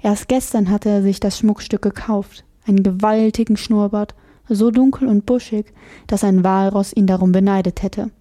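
A woman reading a children's novel aloud as the narrator, expressive and lively. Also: mid-range voice, moderate pace. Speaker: audiobook narrator. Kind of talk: scripted storybook narration.

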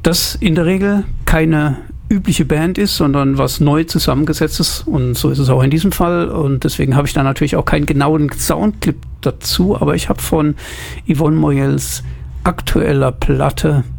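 A man's voice: a little high, moderate pace, vocal fry, darkish tone. A man presenting jazz on the radio, chatting casually and thinking aloud with the odd filler.

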